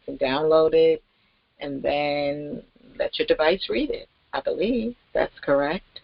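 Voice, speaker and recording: A woman giving an informal, rambling promotional talk as host, with halting, emphatic delivery and pauses mid-sentence. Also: sits low, vocal fry, no echo, muffled recording. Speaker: network marketing promoter and host.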